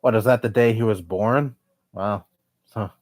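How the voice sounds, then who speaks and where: Young male streamer, low-pitched, blunt chatty rambling, dry close mic. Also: steady tone, unhurried, a little mellow, no echo. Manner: blunt, swears often, rambling opinions. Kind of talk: casual live-stream chat.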